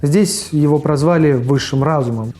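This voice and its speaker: Higher-voiced man narrating over faint music. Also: normal speed, dark tone. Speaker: video host reviewing forgotten cartoons. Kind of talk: casual scripted review narration.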